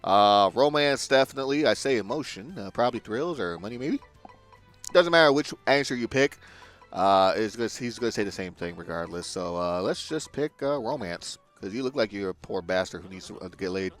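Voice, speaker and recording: A laid-back man talks through his gameplay, with crude asides and a lively, bouncing pitch. Soft game music plays underneath his voice. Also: brisk. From Puerto Rico. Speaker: gaming video host. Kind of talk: casual let's-play commentary.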